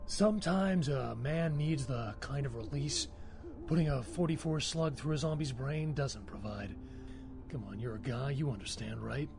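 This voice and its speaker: A man's voice: medium pitch, normal speed, gravelly, over music, close to an everyday voice. Man acting a cocky, wisecracking cemetery caretaker. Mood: confiding. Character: male zombie-hunting cemetery caretaker, gruff, cocky, lonely. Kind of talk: video game character acting.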